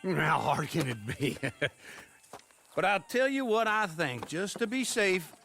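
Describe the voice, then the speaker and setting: Pompous older man, swooping pitch. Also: big pitch swings, takes it slowly, gravelly, over music, full character voice. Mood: smug. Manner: pompous, wordy, theatrical. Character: pompous, wheedling older male idler, jovially bossy. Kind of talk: video game cutscene acting, western.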